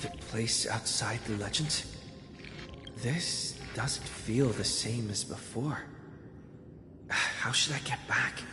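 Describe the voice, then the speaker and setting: A man's voice: mid-range voice, slow, long pauses, bright, forceful tone. A man voicing an earnest young video game hero who sounds hesitant, as if thinking aloud. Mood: puzzled. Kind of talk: dramatic video game voice acting. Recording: echoey reverb, music underneath.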